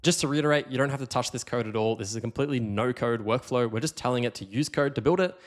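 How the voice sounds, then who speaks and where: A man hosting a casual software tutorial, explaining things conversationally and stressing the key points. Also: mid-range voice, fast. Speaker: tutorial host.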